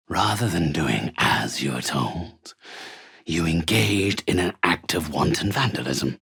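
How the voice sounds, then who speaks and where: A sinister old undead necromancer from a fantasy video game. His husky, gravelly voice moves at a slow, stately pace, often sinking into breathy whispers. He sounds haughty, theatrical and coldly condescending, with wide, swooping intonation. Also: fairly low pitch, a bit bright, dry recording, studio quality, exaggerated voice. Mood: cold disdain. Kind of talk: video game character acting.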